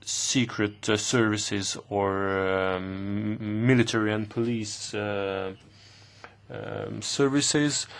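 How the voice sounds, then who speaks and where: A man interpreting formal committee questions live, speaking in halting bursts with pauses mid-phrase. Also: lowish voice, steady tone, vocal fry. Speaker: simultaneous interpreter.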